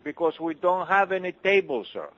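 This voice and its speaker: Restaurant guy on a muffled lo-fi call, bit high voice, politely repeats himself. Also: sing-song, moderate pace, fairly bright tone. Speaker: pizza restaurant worker taking a call. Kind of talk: phone conversation. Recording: dull lo-fi phone line.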